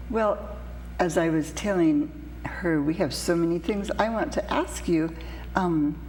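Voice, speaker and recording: A woman hosts a studio interview, asking questions in an unhurried, conversational manner with a slightly low voice. The room has a long echo and faint noise behind. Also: darkish tone. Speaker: talk show host.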